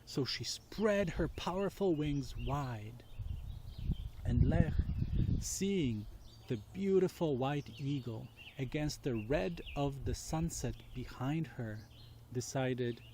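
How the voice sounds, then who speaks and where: Slow-paced man telling children's stories. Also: mid-range voice, animated, noisy background. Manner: deliberate, explains and puts questions to listeners. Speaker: children's storyteller. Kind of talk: storytelling for children.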